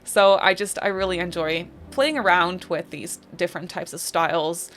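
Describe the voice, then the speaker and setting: A woman's voice: lowish voice, steady tone, moderate pace, a bit bright, soft background music. A young woman from the Netherlands with a Dutch accent, chatting casually over a game build and rambling with lots of fillers. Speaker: Sims builder and YouTuber.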